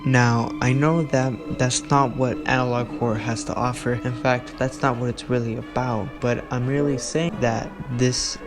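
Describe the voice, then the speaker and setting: Man, mid-pitched voice, conversational video essayist, earnest, rambling delivery, clear music bed behind. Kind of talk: informal video essay commentary. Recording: music under the voice.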